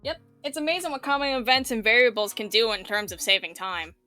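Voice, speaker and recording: A woman with a bright, fairly high voice chats through a tutorial. She is eager and affirming, explaining each step casually while music plays softly behind her. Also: animated, fast, no echo. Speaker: visual novel tutorial teacher.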